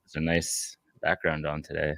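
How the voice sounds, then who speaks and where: Deep gravelly-voiced male host. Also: moderate pace, dry recording. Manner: relaxed and joking, with fillers. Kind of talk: casual chat about a card game.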